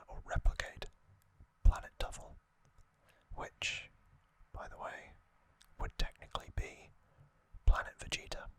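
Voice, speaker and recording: Slow, crisp-edged whispering ASMR host. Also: low-quality recording. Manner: hushed whisper with slow, halting delivery in short phrases. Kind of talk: whispered ASMR trivia recap.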